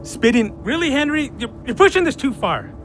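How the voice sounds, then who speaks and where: A man's voice: very high voice, big pitch swings, normal speed, a bit bright, a little hiss or hum, exaggerated voice. A man playing an exasperated, hot-tempered fighter in a heated audio drama, confrontational and ready to throw punches. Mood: angry, threatening. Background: music and vehicle sounds.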